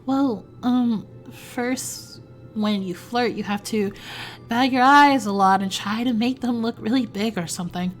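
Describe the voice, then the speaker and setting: Brief: a woman playing a prickly young troll girl, blunt and defensive, fairly high voice, with faint music behind. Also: unhurried, lightly acted.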